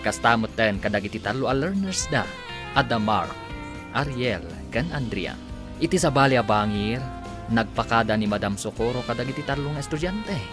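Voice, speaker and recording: A man's voice: mid-range voice, lively intonation, moderate pace. A man with an Ilocano accent narrating a radio drama in a dramatic announcer's delivery. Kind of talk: scripted narration. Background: music.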